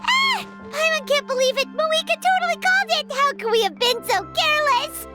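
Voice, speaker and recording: Tiny, very high squeaky fairy companion. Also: female voice, big pitch swings, fairly quick, sharp tone, soft background music, heavily acted. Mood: panicked, excited. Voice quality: squeaky, childlike. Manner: refers to self in third person. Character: tiny childlike fairy companion, perky, food-loving, excitable. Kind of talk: video game voice acting.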